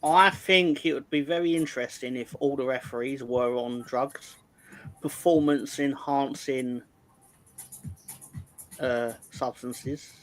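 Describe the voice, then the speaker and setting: A man's voice: mid-range voice, steady tone, slow pace, soft background music, rough recording. Wry man in casual chat.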